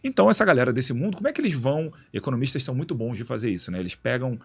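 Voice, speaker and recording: A man being interviewed as a guest in a radio studio, explaining in a relaxed, slangy way with many restarts. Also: mid-range voice, rapid-fire, vocal fry, breathy edge. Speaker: guest, executive director of an institute.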